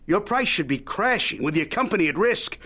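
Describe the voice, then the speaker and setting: Wry old mogul, swooping delivery. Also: male voice, sits high, very animated, fairly quick, bright, forceful tone, exaggerated voice. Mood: scornful. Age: older. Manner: wry, needling, Yiddish-peppered wheeling and dealing. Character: shrewd, folksy old media mogul, haggling showman. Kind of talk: audio drama acting.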